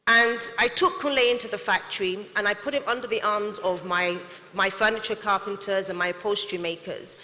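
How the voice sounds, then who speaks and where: A woman's voice: medium pitch, fairly even intonation, brisk, hardly any pauses, bright, forceful tone. A young adult woman, a company CEO, giving an earnest prepared speech to an audience in a large hall. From not Nigeria. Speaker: CEO and manufacturer giving a talk. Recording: long hall reverb.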